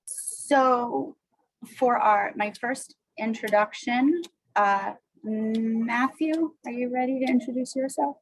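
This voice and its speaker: A woman's voice: on the high side, slow pace, a little hiss or hum, low-quality recording. A woman moderating an online panel, making upbeat, scripted-sounding introductions over a video call.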